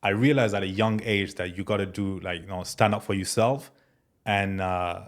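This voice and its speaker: Laid-back young man, low voice, says bro a lot. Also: normal speed. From France. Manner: relaxed, many fillers like "bro" and "man". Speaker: guest entrepreneur. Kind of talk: casual interview chat.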